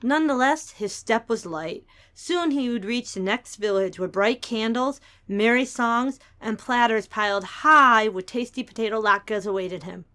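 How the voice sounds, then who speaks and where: A woman's voice: a little high, unhurried, hardly any pauses, bright, forceful tone. A woman narrator giving a scripted, dramatic reading of a storybook tale.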